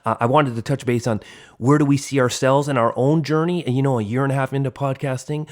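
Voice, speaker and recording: A man's voice: medium pitch, steady tone, quick pace. Earnest man in his forties, podcast host. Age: 40s. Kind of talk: reflective podcast conversation.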